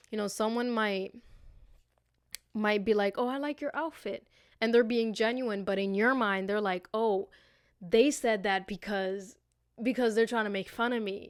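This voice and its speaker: A woman's voice: mid-range voice, smooth, no room sound, clean studio sound. A woman hosting a casual motivational podcast, talking informally and affectionately straight to listeners, with slang and free swearing. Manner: affectionate direct address to listeners. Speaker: podcast host. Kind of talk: casual, motivational self-reflection podcast monologue.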